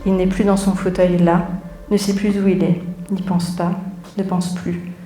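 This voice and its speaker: A woman's voice: lowish voice, little pitch movement, measured pace, mellow. A woman, a theatre director, addressing an audience live from a theatre, in the manner of a welcome and read-aloud story. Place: theatre auditorium, before an audience. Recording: roomy echo, music underneath.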